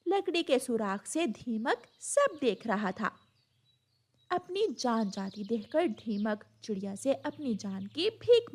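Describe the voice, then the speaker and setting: A woman narrating a children's cartoon story as a scripted storyteller. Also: on the high side.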